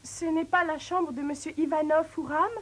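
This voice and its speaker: An actress playing a plucky, resourceful young sleuth in a French cartoon dub, quick-witted and determined. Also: female voice, high voice, steady tone, fairly bright tone. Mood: polite, sly. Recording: music behind the voice.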